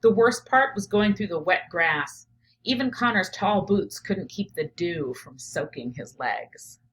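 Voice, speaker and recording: A woman's voice: mid-range voice, animated, measured pace. A middle-aged woman with a mid-range voice speaks as a teacher doing a read-aloud. She moves between storybook narration and her own reflective commentary, working out her thoughts as she talks. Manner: reflective, thinking out loud, often drops to a murmur while noting ideas. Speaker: teacher, professor.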